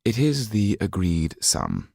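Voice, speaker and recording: Man voicing firm, formal gentleman. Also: fairly low pitch, wildly swooping pitch, slow, gravelly, slightly rough, no echo, studio quality, pitched above the performer's own voice, exaggerated voice. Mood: firm, composed. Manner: formal, authoritative. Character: composed, firm, well-dressed gentleman. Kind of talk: acted audiobook dialogue.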